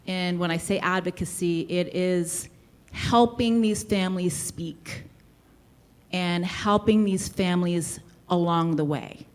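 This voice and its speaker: A woman's voice: on the low side, slow pace. Chatty Boston woman hosting live. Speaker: podcast host. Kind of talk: live podcast show, casual talk. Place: brewery, live audience.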